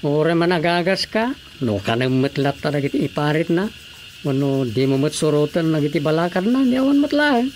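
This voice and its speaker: Actor as high-voiced, earnest village man. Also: lively intonation, fairly quick, a little mellow, close to an everyday voice. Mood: admonishing. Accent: northern Philippine. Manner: admonishing. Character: earnest male villager, cautioning a friend. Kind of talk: radio drama comedy acting. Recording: faint cricket-like background noise.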